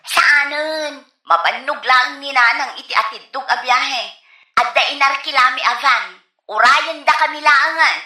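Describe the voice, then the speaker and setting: Woman acting high-voiced, patient grown daughter. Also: unhurried, sharp tone, full character voice. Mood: reassuring. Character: patient, practical grown daughter smoothing things over. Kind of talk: comedy radio drama acting. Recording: roomy echo.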